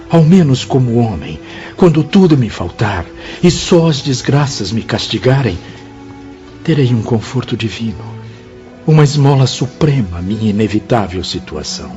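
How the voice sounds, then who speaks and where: An actor playing a remorseful spirit of a man in a radio drama, solemn and fervent as he pleads for penance. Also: on the low side. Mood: contrite, pleading. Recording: muffled radio drama with loud music.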